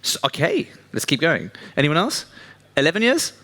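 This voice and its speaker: A man's voice: a little high, wildly swooping pitch, normal speed, bright, forceful tone. A man hosting a live talk show on a stage, chatty and jokey, talking to the audience. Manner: chatty, jokey, works the crowd. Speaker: show host.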